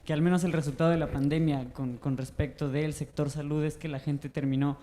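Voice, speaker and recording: A man's voice: on the high side, fairly even intonation, fairly quick, dark tone. A man from Mexico with a Mexican accent, asking a thoughtful question from the audience with some hesitation. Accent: Mexican Spanish. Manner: thoughtful, hesitant, many fillers.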